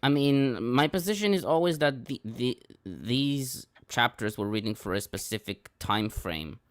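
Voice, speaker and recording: A man's voice: mid-range voice, animated, measured pace, no room sound, studio quality. A man from Israel whose first language is Hebrew, speaking hesitantly in a casual panel discussion and stumbling over his words. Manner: hesitant, stumbling, repeats himself.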